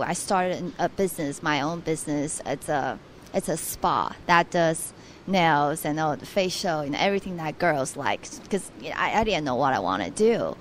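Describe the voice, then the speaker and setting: A woman's voice: lowish voice, a little hiss or hum, low-quality recording. A young adult woman from Taiwan, a guest on a radio interview, speaking earnestly with frequent restarts.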